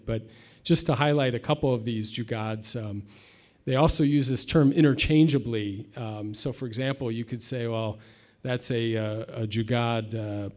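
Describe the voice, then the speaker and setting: A man's voice: mid-range voice, darkish tone. Man presenting on a conference stage. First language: English. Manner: conversational, light humor, frequent fillers. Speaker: conference speaker. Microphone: podium mic.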